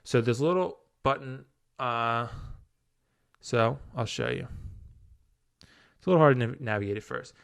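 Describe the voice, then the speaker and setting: Male tutorial presenter, informal and conversational, crisp tone, dry recording. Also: medium pitch, expressive, unhurried, pauses often, dry recording. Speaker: tutorial video maker. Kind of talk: casual hands-on app tutorial.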